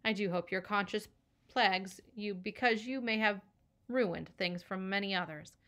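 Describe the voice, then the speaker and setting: Woman doing a stern foster mother, middle-aged, haughty, pompous formal diction, raised and loud. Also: mid-range voice, moderate pace, close to an everyday voice. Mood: scolding.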